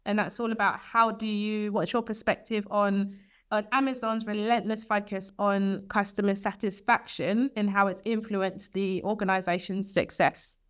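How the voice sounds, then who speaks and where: A woman's voice: medium pitch, fairly even intonation, normal speed, a bit bright, clear, resonant tone. A woman hosting a podcast interview, asking questions in a conversational style. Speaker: guest host interviewer.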